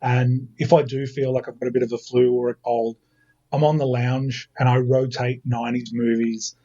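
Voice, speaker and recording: A man's voice: medium pitch, flat delivery, normal speed, dark tone, muffled recording. Chatty self-mocking Australian man. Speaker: podcast guest. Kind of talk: casual chat.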